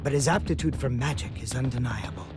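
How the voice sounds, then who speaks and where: A man's voice: medium pitch, lively intonation, brisk, over loud music, natural voice. A man playing an earnest, courteous, worried leader in a video game. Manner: polite, formal. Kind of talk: English game voice acting.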